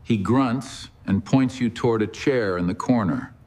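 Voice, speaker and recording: A man narrating a scripted second-person story in a deadpan manner. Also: lowish voice, unhurried.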